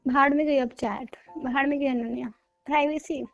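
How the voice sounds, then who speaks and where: Wife arguing, high voice, accusing and confrontational, casual talk w faint music under it. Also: female voice, steady tone, moderate pace, vocal fry, muffled recording. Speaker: wife in a prank video. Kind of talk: casual domestic argument.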